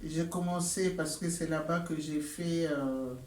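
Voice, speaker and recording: A woman's voice: low-pitched, steady tone, unhurried, a little mellow, echoey room, recorded from a distance, slight background noise. A woman in her sixties from the Republic of the Congo, an adult English learner, answering interview questions hesitantly, with many fillers and restarts. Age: 60s.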